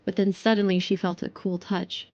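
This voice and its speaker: A woman's voice: mid-range voice, little pitch movement, normal speed, darkish tone, highs cut off. A woman narrating a scripted romance audio drama.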